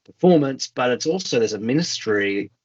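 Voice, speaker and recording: A man's voice: mid-range voice, expressive, normal speed. A man hosting a casual interview, thinking aloud as he feels his way through winding, tentative questions. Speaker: interviewer, host. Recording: slightly dull, lo-fi conversation audio.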